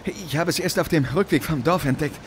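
Guy doing a keen dutiful young-sounding sergeant, radio play, high voice, excited reporting, faint noise around him. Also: brisk, lightly acted. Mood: eager, reporting. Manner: excited, deferential, calling out. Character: eager, dutiful male police sergeant. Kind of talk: German radio play acting.

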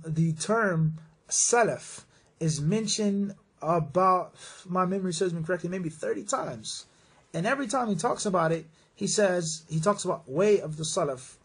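High-pitched man giving religious advice, slightly husky. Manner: emphatic, repeats phrases for stress; mixes in Arabic religious phrases. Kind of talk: religious advice, question and answer talk.

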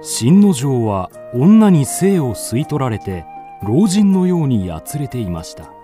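A man's voice: mid-range voice, very animated, a little slow, mellow, faint music behind. A man narrating a scripted ghost story in a polite storytelling manner.

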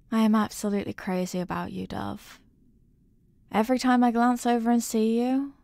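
A woman's voice: mid-range voice, vocal fry, warm tone, dry recording. A woman playing a doting, intensely possessive girlfriend in an intimate ASMR roleplay, cooing tenderly with pet names. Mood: intense, desirous.

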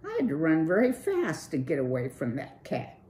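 A woman's voice: low voice, huge pitch swings, normal speed, mellow, roomy sound. A woman voicing a polite, naive young male country mouse who speaks formally and earnestly. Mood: earnest, puzzled.

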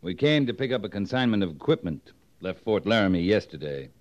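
An American man playing a dry-witted, authoritative cavalry captain in a 1950s radio western, clipped and deadpan. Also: fairly low pitch, a little fast. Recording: dull, noisy old radio recording.

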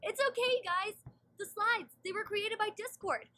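A woman voicing a gentle, sensible young female cartoon pony. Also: extremely high pitch, normal speed. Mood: reassuring.

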